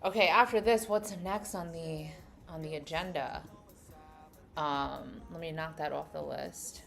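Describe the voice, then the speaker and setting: A woman's voice: low voice, measured pace, vocal fry, fairly bright tone, faint music behind. A young adult woman from New York with a New York accent, chatting casually with live-stream viewers and thinking aloud as she reads their comments. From New York City. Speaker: livestream host.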